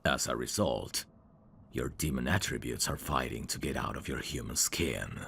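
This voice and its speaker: A man playing a suave, teasing demon in an intimate ASMR roleplay, courteous and flirtatious, with a gravelly voice. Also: a bass voice, normal speed, a bit bright, slight background noise, heavily acted. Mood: thoughtful, explanatory. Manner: smooth-talking, flirtatious, formal phrasing with hesitations. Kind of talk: intimate ASMR roleplay acting addressed to the listener.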